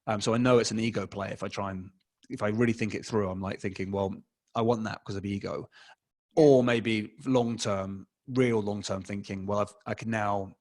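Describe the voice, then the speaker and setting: A man's voice: fairly low pitch, steady tone, normal speed, darkish tone. A man in his forties with an English accent, a guest answering interview questions over a video call, talking casually with many fillers and restarts. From England. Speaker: guest, advertising expert. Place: small room at home, indoors. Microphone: desk mic, video call.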